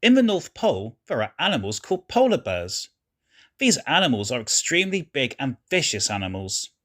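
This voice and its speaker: Man reading with very lively pitch swings. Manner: expressive, deliberate read-aloud delivery. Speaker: reader of a children's story. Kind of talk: scripted story narration for children.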